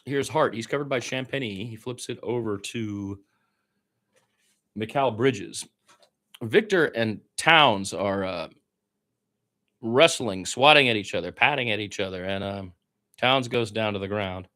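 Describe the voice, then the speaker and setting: A man's voice: lowish voice, measured pace, pauses often, bright, forceful tone. A man giving informal radio-style basketball play-by-play, with blunt, conversational and opinionated asides. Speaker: play-by-play sports commentator.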